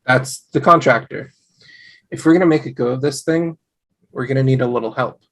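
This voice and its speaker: A man acts out an eager, devoted young husband in a sitcom read-through. His voice sits in the middle of the male range. He talks in an earnest, excitable way. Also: mid-range voice, brisk, close to an everyday voice. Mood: excited.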